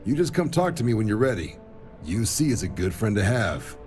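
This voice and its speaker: A wry, easygoing military officer from a video game. His lowish voice moves around freely as he gives casual briefings and recruiting pitches, with faint music behind him. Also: big pitch swings, brisk. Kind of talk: video game cutscene acting.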